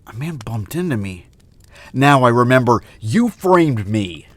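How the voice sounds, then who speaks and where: An actor playing an earnest, principled young scientist hero with melodramatic delivery in an audio drama. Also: male voice, medium pitch, sing-song, measured pace, a bit bright, no room sound. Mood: indignant.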